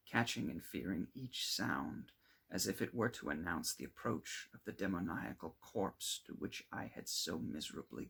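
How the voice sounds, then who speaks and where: A man with a husky, gravelly voice reads a classic gothic novel aloud in a theatrical, emphatic way, setting phrases apart. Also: deep voice, fairly bright tone. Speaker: amateur audiobook reader.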